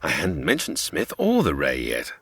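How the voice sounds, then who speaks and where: A smug scheming space villain. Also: male voice, extremely low pitch, huge pitch swings, moderate pace, vocal fry, a bit bright, slightly rough, dry recording, studio quality, heavily acted. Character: scheming male villain Killer Kane, smug. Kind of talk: comedy audio drama acting.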